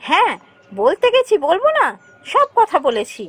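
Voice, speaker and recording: A woman's voice: very high voice, wildly swooping pitch, normal speed, dry recording, exaggerated voice. A woman voicing a scheming, jealous younger queen in a fairytale cartoon, speaking in an insinuating, emphatic way. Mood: aggrieved. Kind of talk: Bengali fairytale cartoon voice acting.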